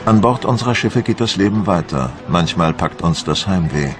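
A low, weighty male voice as a proud, watchful admiral from the Napoleonic wars. He recounts his campaign in measured, formal phrases, like a diary in a radio documentary. Music plays clearly behind him. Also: expressive. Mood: wistful, watchful. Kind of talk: first-person dramatised documentary narration.

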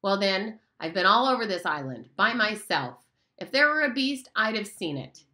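Lady doing a cocky bossy boy from a storybook, sneery and loud, voice jumping high. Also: very animated, moderate pace. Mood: scornful. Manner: scornful, blustering. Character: bossy boastful boy hunter leader.

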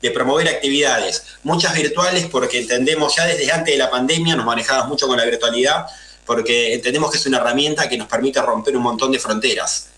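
A man's voice: medium pitch, quick pace, bright, forceful tone, roomy sound, slight background noise, poor audio. A man in his thirties from Argentina with a Buenos Aires accent, answering radio interview questions earnestly and with many fillers. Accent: Buenos Aires Spanish. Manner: earnest, explanatory, lots of fillers. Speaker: guest.

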